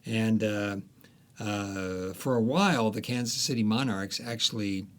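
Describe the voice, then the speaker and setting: A man with a low voice tells stories as a guest author in an interview. He speaks in a loose, conversational way, pausing on fillers and restarting his sentences as he goes. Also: a bit bright. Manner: unhurried storytelling with many fillers and restarts.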